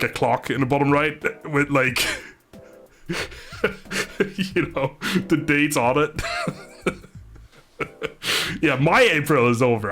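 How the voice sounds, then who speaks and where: Rambling, low-energy male streamer from the Netherlands. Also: a bit bright. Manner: rambling, laid-back, swears mildly, often chuckles. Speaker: live streamer. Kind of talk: casual livestream chat with viewers. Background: music.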